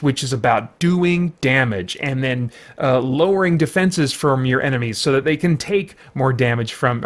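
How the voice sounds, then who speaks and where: A man with a slightly high voice talks through a game review in a casual, unscripted way. He explains in a conversational manner, qualifying his points as he goes. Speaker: host reviewing video games.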